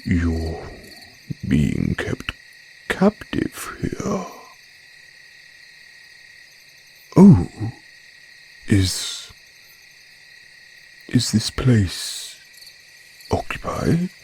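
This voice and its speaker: A man voicing a haughty, courteous werebear with predatory charm, in an audio roleplay, speaking in a drawn-out, genteel, condescending way. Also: sits low, wildly swooping pitch, creaky, dark tone, a little hiss or hum, heavily acted. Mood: curious, flirtatious. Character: smooth, haughty male werebear, courteous yet predatory flirt. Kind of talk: audio roleplay monologue addressed to listener.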